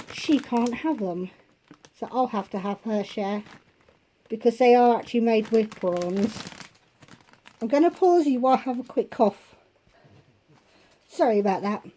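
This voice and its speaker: A woman vlogger at home, chatting casually through a shopping haul and listing prices, her voice going hoarse. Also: on the high side, dark tone, slight background noise, poor audio. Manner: chatty, unhurried, itemizing prices.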